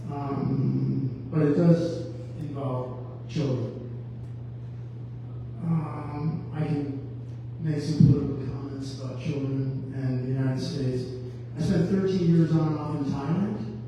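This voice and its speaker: A man's voice: fairly high pitch, steady tone, slow. A man introducing himself as a school board candidate at a public forum, talking in an effusive, rambling way that jumps from thought to thought. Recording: echoey hall from far off, faint hum.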